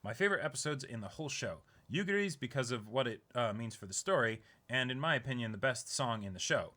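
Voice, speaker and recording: Young man with a bright voice. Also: mid-range voice, lively intonation, quick pace. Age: young adult. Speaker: YouTube unboxing host. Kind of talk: reading a viewer letter aloud, casual. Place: small indoor room, seated.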